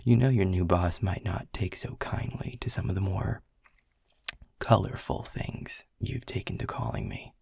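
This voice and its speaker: A man playing a world-weary, grumpy male middle manager who talks in a hushed, breathy, sardonic way and swears casually in an audio roleplay. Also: sits low, sing-song, a little slow, no room sound, studio quality, full character voice. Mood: wry, teasing. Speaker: boss.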